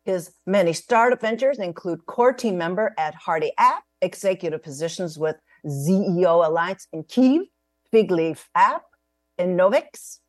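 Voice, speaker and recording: A woman hosting an interview, reading her guest's credentials in a measured, careful way over a video call. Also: on the low side, dry recording, studio quality. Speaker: host. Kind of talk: formal interview introduction.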